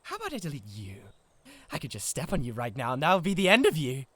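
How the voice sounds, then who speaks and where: A man's voice: a little high, huge pitch swings, quick pace, a bit bright, no room sound, heavily acted. Theatrical jealous giant, played by a British man. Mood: indignant, exasperated. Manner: theatrical, easily exasperated. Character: huge, jealous, lovesick, violently possessive male giant. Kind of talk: comedic ASMR roleplay acting.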